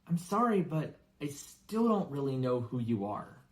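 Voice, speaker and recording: A man's voice: medium pitch, very animated, a little slow, pitched above the performer's own voice. A man voicing a timid, polite young boy in a storybook, puzzled, hesitant and apologetic. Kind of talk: storybook character voice.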